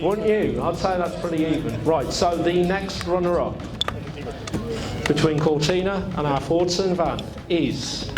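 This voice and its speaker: Man, high voice, chesty cough, upbeat event compère, outdoors, music behind. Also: lively intonation, a little slow. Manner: chirpy, coaxing, rallying the crowd. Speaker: car show presenter and video host. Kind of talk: outdoor event compering to a crowd. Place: outdoor car show.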